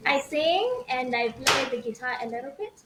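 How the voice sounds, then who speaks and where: Young female guest, Zimbabwean accent, fairly high voice, casual storytelling, recorded in an echoey room. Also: very animated, moderate pace. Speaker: interview guest. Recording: echoey room with faint noise.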